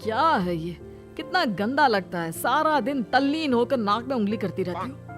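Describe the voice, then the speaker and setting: A woman playing a scolding, sarcastic older mother-in-law in an animated comedy story, with exaggerated delivery. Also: on the high side, moderate pace, faint music behind, close to an everyday voice. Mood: disgusted, sarcastic.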